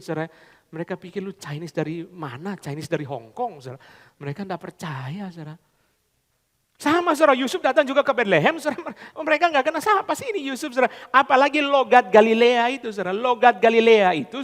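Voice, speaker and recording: A man delivers a sermon in a high, pitched-up voice. He swings from loud, emphatic lines to quiet, confiding asides. His speech is full of English phrases and street slang, and it echoes with the long tail of a large church hall. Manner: repeats "saudara" constantly, mixes in English phrases and colloquial Jakarta slang. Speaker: pastor.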